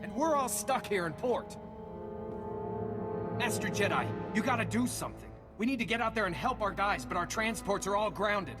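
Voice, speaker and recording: An actor playing a frustrated young soldier in a video game, talking informally and urgently. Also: male voice, sits high, expressive, normal speed, in a large hall, over loud music. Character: frustrated young male Republic soldier pleading for help.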